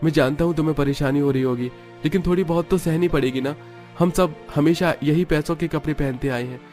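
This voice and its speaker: An earnest young husband from a cartoon story, acted out by a man. He is protective of his wife and speaks in a raised pitch, with music playing behind him. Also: brisk, a little mellow, close to an everyday voice. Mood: concerned, placating. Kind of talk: animated story character dialogue.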